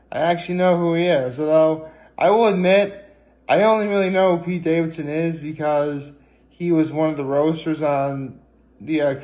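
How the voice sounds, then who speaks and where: A man chatting casually in a solo vlog, rambling and halting as he often corrects himself. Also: fairly high pitch, a little mellow. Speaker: vlogger. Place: indoors at home, on a couch.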